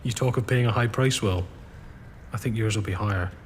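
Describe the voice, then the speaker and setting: A man acts out a loyal friend who speaks bluntly, in a very deep voice. The sound echoes with a long hall reverb over a faint low rumble. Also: a little fast, lightly acted. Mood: stern. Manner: blunt, plain-spoken.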